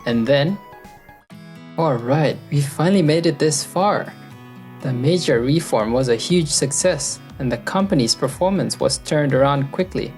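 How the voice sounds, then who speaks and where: A woman's voice: lively intonation, unhurried, a little mellow. A woman playing an earnest, loyal young office worker and narrator in a manga dub, speaking in a conversational way. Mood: reflective narrating. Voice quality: low-pitched voice. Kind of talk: anime-style manga dub with first-person narration. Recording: background music under the voice. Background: music.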